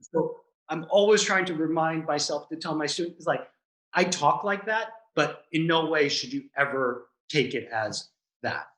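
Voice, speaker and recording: Male improv teacher, higher pitch, roomy echo, relaxed and reflective. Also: normal speed. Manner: relaxed, thoughtful, stammering restarts. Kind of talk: casual interview chat.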